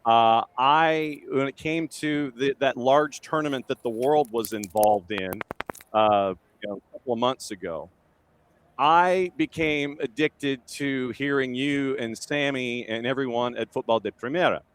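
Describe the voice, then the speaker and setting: A man's voice: medium pitch, a little slow, clean tone. A man hosting a soccer morning show, chatting casually with a guest and joking at his own expense. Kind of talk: casual interview.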